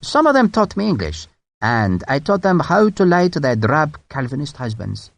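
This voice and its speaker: A man's voice: on the high side, wildly swooping pitch, normal speed, vocal fry, no room sound, heavily acted. A man voicing a suave, menacing French brigadier of about forty, with a French accent lightly touched by Scottish, speaking mildly and courteously. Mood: charming. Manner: mild, courteous, quietly charming.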